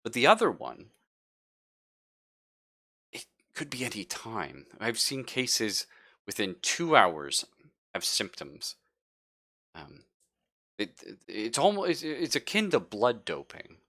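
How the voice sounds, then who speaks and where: Man playing a measured, low-voiced clinical doctor. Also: pushed and bright, dry recording, studio quality, full character voice. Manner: hesitant, measured, euphemistic. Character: clinical, cagey doctor studying a virus outbreak.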